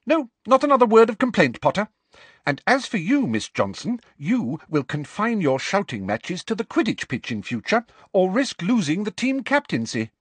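A stern older schoolmistress scolds in sharp, clipped phrases, as a man puts her on. Her voice sits far above his own and leaps about with emphasis, slightly husky. It is recorded close and dry. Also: medium pitch, very animated, measured pace, no echo, pitched above the performer's own voice, full character voice. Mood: tart. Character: stern strict older female professor.

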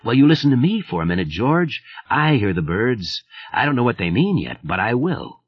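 Guy playing a wound-up man on the edge, urgent, husky, lively delivery. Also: moderate pace, full character voice. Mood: agitated. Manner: pitched up, urgent and sharp. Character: tense, agitated man under strain. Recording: muffled lo-fi audio.

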